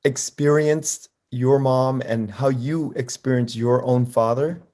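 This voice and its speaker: A man's voice: medium pitch, fairly even intonation, slow pace, a little mellow. A middle-aged man hosting a podcast interview, asking questions in a hesitant way and often repeating words. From United States. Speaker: podcast host. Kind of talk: conversational interview.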